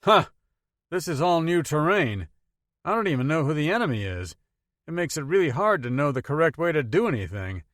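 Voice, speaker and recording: A man's voice: fairly high pitch, sing-song, brisk, fairly bright tone, no room sound, studio quality, pitched above the performer's own voice. A man voicing an easygoing young man with a country accent who talks candidly and cautiously, in an audiobook reading. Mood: thoughtful. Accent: American Southern-style country.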